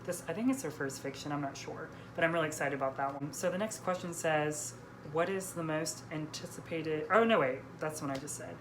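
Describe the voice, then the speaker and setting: A woman's voice: sits low, fairly quick. A young woman in a casual book chat with a friend, hosting in a hesitant, self-correcting way. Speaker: book vlogger, host reading out questions. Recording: clearly noisy room recording.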